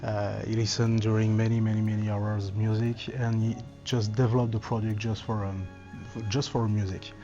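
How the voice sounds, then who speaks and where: A man representing a cable company in a trade show interview, speaking haltingly with many fillers as he searches for words. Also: lowish voice, little pitch movement, lo-fi sound, music in the background.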